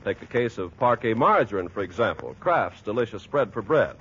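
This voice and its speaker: A man announcing in the style of 1940s radio advertising, with a husky voice. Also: fairly low pitch, sing-song, brisk, a bit bright. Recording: muffled old radio recording.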